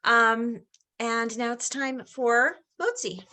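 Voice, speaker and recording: A woman's voice: fairly high pitch, slow, pushed and bright. A woman educator leading a blessing, upbeat, speaking from a distance from the mic.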